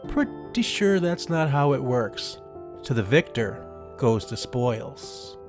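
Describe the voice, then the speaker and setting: Gruff cocky field mouse, teasing drawl, very animated intonation, audio drama, music behind. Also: male voice, medium pitch, takes it slowly. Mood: cocky, mocking.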